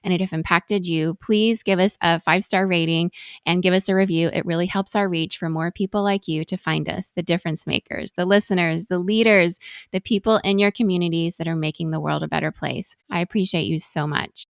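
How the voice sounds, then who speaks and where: A woman's voice: lowish voice, fairly even intonation, a little fast, no room sound, clean studio sound. A woman from Venezuela hosting a podcast, talking to her guest and listeners. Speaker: podcast host. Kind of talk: interview and listener address.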